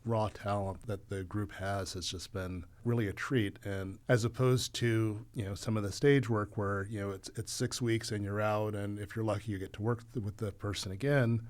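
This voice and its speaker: A man's voice: lowish voice, steady tone, normal speed, hardly any pauses. A man speaking as an actor guest, answering in a casual, polite, reflective way.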